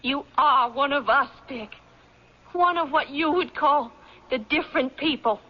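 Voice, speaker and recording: An actress in an old-time radio drama playing a resolute, earnest young daughter of a captain. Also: female voice, sits high, unhurried. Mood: earnest, firm. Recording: muffled, noisy vintage radio broadcast.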